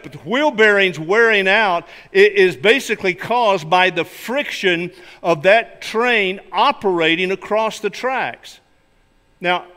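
An older man, a pastor delivering a sermon in an emphatic, deliberate way, speaking from a church pulpit. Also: sits high, bright, forceful tone. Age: older, 60s or 70s. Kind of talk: sermon with illustrations and scripture readings. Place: large church sanctuary, at a wooden pulpit. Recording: reverberant hall sound.

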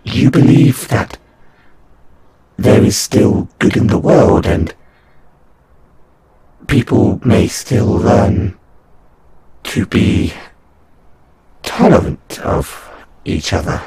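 A man voicing a condescending alien angel envoy in an audio roleplay, speaking in a halting, solemn, formal way with a breathy, husky voice. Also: fairly low pitch, very animated, warm tone, exaggerated voice, low-quality recording. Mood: grave, persuasive. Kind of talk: scripted audio roleplay monologue.

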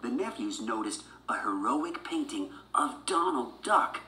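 A woman's voice: a bass voice, big pitch swings, unhurried, sharp tone. A woman narrating a children's storybook from a script as a read-along. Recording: roomy sound with music behind.

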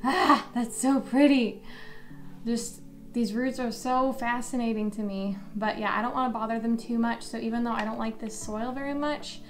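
A woman's voice: a little high, fairly even intonation, normal speed, reverberant. A young woman in her twenties chatting casually about her houseplants, gushing and laughing often, recorded at home. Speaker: plant vlogger. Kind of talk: casual vlog chat. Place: bedroom with houseplants, indoors. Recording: background music under voice.

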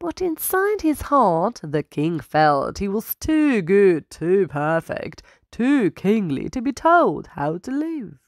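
A woman reading a children's story aloud as a storyteller, with dramatic, deliberate emphasis. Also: medium pitch, very animated, dry recording, studio quality.

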